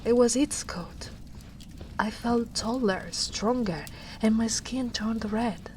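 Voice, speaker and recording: A woman voicing a gentle, protective big female ogre in a tender, soft-spoken ASMR roleplay, often slipping into whispers. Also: a little high, slow pace, slight background noise, low-quality recording. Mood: somber.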